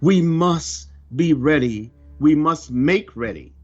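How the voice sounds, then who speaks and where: A man reading a scripted religious report aloud in a solemn, deliberate, emphatic manner. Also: a little high, expressive. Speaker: religious reader of a prophecy report. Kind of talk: scripted narration, read aloud. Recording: dull lo-fi audio under music. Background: music.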